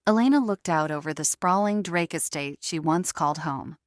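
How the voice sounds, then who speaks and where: A woman narrator delivers a dramatic scripted audio series. Her voice sits fairly low, and the recording is close and dry, with no room sound. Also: moderate pace, no echo.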